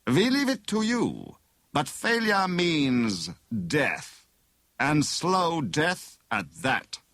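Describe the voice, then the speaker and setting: A menacing storybook villain, acted out by a male reader. He speaks in a raised, swooping pitch with slow, formal, snarling threats. The sound is close and dry. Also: very animated, fairly bright tone, no echo, exaggerated voice. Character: menacing, sneering male villain posing as inspector. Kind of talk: dramatised audiobook dialogue.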